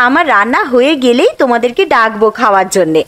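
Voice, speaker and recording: High-pitched fretful young housewife played by a woman. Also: lively intonation, quick pace, background noise, natural voice. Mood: fretful, chatty. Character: worried young housewife, sharp-tongued but caring daughter. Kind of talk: cartoon voice acting.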